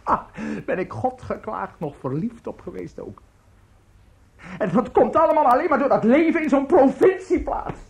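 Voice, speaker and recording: An actor playing a bitter, self-pitying, tipsy middle-aged conductor in a radio play, husky and halting as he grumbles. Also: extremely high pitch, expressive, darkish tone, heavily acted. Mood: bitter, ranting. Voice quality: husky, rough. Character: bitter, drunken, self-pitying provincial conductor nearing fifty.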